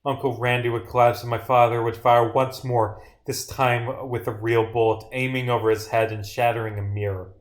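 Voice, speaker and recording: A man's voice: mid-range voice, flat delivery, normal speed, hardly any pauses, lightly acted. Man playing a subdued, guilt-ridden young man. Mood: subdued confession.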